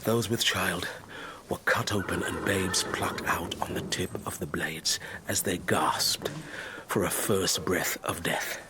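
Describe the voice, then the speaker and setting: An actor playing a proud, devout older Moorish stableman in a period radio drama, solemn, measured and poetic. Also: low-pitched, steady tone, in a whisper. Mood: grave, haunted. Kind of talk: period radio drama acting, lyrical speech. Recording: clearly noisy stable ambience behind.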